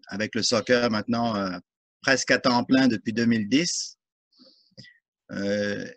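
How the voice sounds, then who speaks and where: Referee, measured and orderly. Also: male voice, medium pitch, a bit bright, no echo. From France. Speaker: guest, soccer referee and volunteer. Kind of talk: interview answers.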